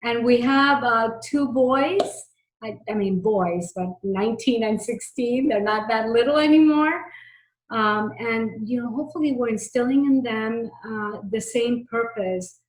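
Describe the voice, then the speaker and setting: A woman's voice: a little high, unhurried. A middle-aged woman from Miami of Cuban family answering interview questions in an earnest, conversational way, repeating points for emphasis. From Miami, United States, of Cuban family. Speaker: interview guest, technology executive. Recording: roomy, echoey sound.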